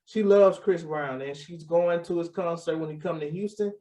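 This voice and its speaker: A man's voice: a little high, normal speed, warm tone. A man co-hosting a casual talk show, laid-back, repeating himself and sighing over prices. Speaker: podcast co-host. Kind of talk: casual chat.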